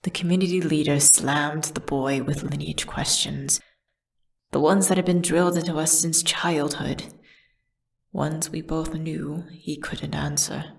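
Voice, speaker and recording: Casting: female actor as condemned witch for a fantasy ASMR drama, low register, breathy and measured, solemn, tragic storyteller. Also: steady tone. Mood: tense, regretful. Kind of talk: dramatic first-person ASMR audio storytelling.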